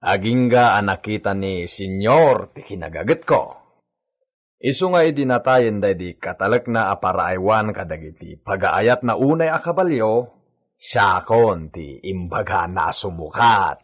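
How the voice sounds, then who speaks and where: A man's voice: on the low side, expressive, a little slow. An actor with an Ilocano accent playing a touchy, grumbling hacienda worker in a radio drama, blunt and challenging in his way of talking.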